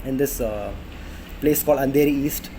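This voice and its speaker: A man's voice: medium pitch, moderate pace, noisy background. A man in his twenties with an Indian accent, chatting casually about health tips in a vlog, full of fillers and restarts. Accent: Indian English. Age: 20s. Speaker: vlogger. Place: apartment in a city under flight paths. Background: planes passing overhead.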